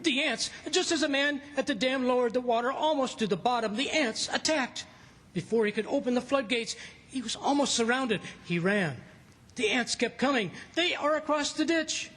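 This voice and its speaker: An actor playing an alarmed, loyal plantation worker, urgent and excitable, in a radio drama. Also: male voice, very high-pitched, moderate pace. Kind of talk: stage radio drama acting. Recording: live radio play performance.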